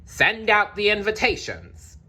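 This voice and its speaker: A man's voice: sits high, huge pitch swings, measured pace, slightly rough, pitched above the performer's own voice, exaggerated voice. A man voicing an impatient, stubborn old king in a storybook, blustering and emphatic. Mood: insistent, excited. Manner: emphatic, shouting.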